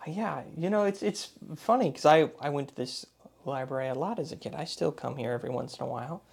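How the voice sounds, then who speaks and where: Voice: medium pitch, a little fast, creaky, a little mellow, breathy edge. A radio show host interviewing a guest, asking curious, rambling questions full of likes and fillers.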